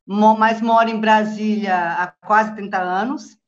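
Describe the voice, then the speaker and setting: A woman's voice: mid-range voice, fairly even intonation, unhurried. A middle-aged woman from southern Brazil with a Brazilian accent, giving a casual, conversational personal account as an interview guest. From Rio Grande do Sul, southern Brazil. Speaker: guest, journalist. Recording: dull, lo-fi online call.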